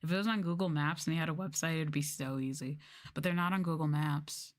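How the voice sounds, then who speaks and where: A woman streamer chatting casually in a soft-spoken ASMR style, rambling and swearing as she goes. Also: deep voice, steady tone, brisk. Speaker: VTuber streamer.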